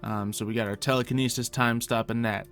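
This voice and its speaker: A man's voice: mid-range voice, steady tone, brisk, a bit bright, soft background music. A man giving casual, improvised gameplay commentary in character, chatting to himself and thinking aloud as he goes. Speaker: gaming video host playing in character.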